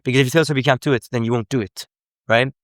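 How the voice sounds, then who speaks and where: A man's voice: medium pitch, fairly even intonation, racing, no room sound, studio quality. A young man from Sweden, a language coach, explaining in casual unscripted live commentary, earnest and correcting himself often.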